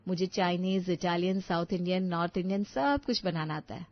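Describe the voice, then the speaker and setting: A woman's voice: on the low side, normal speed, highs cut off, natural voice. A woman as a timid young new bride. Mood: polite.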